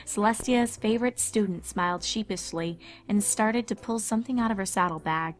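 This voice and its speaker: A woman's voice: mid-range voice, moderate pace. A woman reading scripted third-person story narration as the narrator of a cartoon fan fiction.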